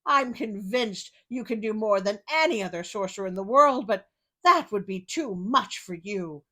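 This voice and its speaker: A woman voicing a cunning, flattering male storybook cat with theatrical awe. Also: medium pitch, moderate pace. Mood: feigned amazement.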